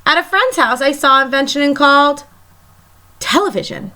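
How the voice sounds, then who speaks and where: A woman teacher reading a picture book aloud to children in an expressive, emphatic storytelling manner. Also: high voice. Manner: animated, emphatic, pauses to question young listeners.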